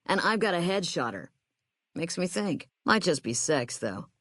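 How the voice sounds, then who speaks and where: Low female voice, hard-bitten military sniper, blunt, sardonic, tough, dry close recording, video game dialogue. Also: sing-song, moderate pace, fairly bright tone, dry recording. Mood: wry, musing. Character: brash, hard-bitten young female army sniper corporal.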